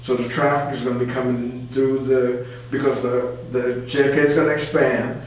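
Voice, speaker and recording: A man's voice: medium pitch, flat delivery, moderate pace. A man speaking as a community advocate guest in an informal radio discussion, explaining and repeating phrases to stress his points. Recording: very echoey room, distant mic, faint noise.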